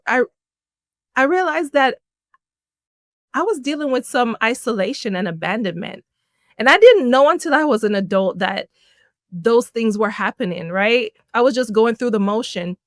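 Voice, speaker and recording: A middle-aged woman from Jamaica, a podcast guest telling her life story in an animated way, laughing often. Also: on the high side, dry recording, studio quality. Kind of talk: personal storytelling in an interview.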